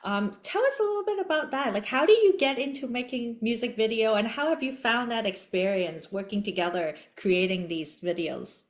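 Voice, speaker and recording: A woman's voice: a little high, moderate pace, hardly any pauses. A woman interviewer asking long, layered questions in a friendly way.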